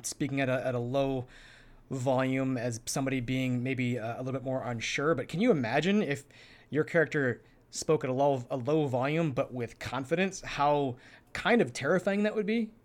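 A man's voice: mid-range voice, moderate pace. Man casually explaining character voice tips. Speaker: podcast co-host giving roleplay tips. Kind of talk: casual conversational instruction.

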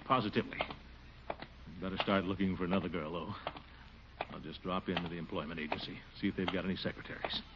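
An actor playing a pompous, easily flustered middle-aged city official in a 1940s radio comedy. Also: male voice, low voice, fairly even intonation, fast, lightly acted. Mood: grumbling. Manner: flustered, blustering, mutters to himself. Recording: muffled old radio broadcast with hiss.

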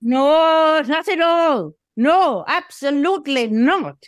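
A woman's voice: high voice, animated, slow, no echo, studio quality. A woman playing a gushing, flirty, glamorous female dog in an audio play. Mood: reassuring.

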